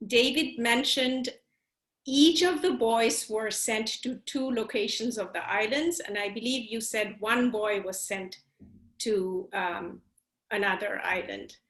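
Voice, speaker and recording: A woman's voice: a little high, takes it slowly, fairly bright tone, reverberant. A woman from Bangladesh, a trainee interpreter giving polite, hesitant feedback to a classmate on a video call.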